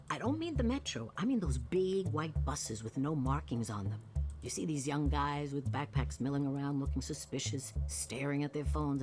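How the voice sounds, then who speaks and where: A woman voice actor playing an eccentric, streetwise older woman who rambles in a folksy, suspicious way in a video game. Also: deep voice. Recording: game music behind the voice.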